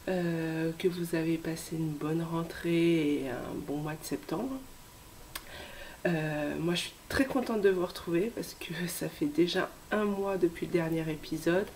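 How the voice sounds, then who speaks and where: A woman in her thirties, hoarse from a cold, hosting a casual knitting vlog with many hesitations and soft asides. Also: lowish voice, slight background noise. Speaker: knitting podcast host.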